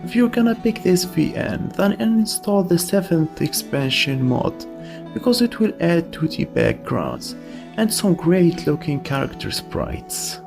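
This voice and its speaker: A man's voice: fairly high pitch, very animated, a little slow, gravelly, over music. A man narrating a scripted video essay, giving opinionated reviews of games.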